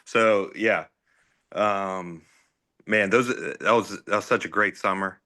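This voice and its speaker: Bright, low-voiced man of forty. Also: slow. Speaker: former ballplayer, guest. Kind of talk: casual chat.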